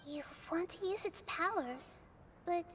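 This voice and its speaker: A gentle girlish goddess. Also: very high voice, unhurried, very noisy. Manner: soft, reassuring.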